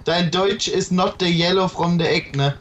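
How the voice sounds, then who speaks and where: Young guy, high voice, jokes around while gaming with mates, slight hiss. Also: fairly even intonation, normal speed, a bit bright, lo-fi sound. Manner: joking, teasing, laughs often. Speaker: gamer. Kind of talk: casual gaming chat with friends.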